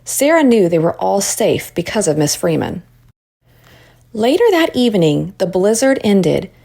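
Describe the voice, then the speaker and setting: A woman narrating a children's story in an expressive read-aloud style. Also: medium pitch, unhurried, vocal fry.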